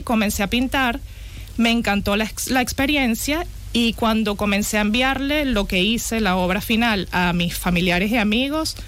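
A woman with a Venezuelan accent speaks as a guest on a radio show. She talks in a relaxed, reflective way, stopping often to search for her words. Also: a little high. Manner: relaxed, reflective, with frequent "eh" pauses. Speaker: guest artist. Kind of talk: radio interview.

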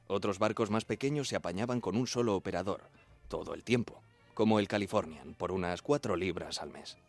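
An actor playing an earnest young radio operator of the 1910s, narrating in the first person in a measured, plain way. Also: male voice, deep voice. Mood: measured, reminiscing. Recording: music behind the voice.

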